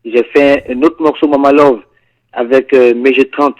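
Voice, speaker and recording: A singer from Ivory Coast with an Ivorian French accent, chatting in a radio studio interview and often answering "yes, sir". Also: male voice, mid-range voice, moderate pace, highs cut off. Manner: relaxed, peppers talk with "yes, sir". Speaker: guest singer.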